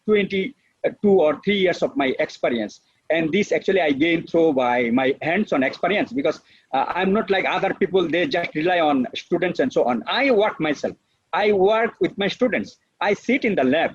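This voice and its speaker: A man's voice: high-pitched, slightly rough, no echo. A middle-aged man from Bangladesh with a Bangladeshi accent, giving an earnest, unscripted webinar lecture full of fillers. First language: Bengali. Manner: earnest, repetitive, with frequent fillers. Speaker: professor giving a webinar talk. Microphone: video call. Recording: dull, lo-fi online call audio.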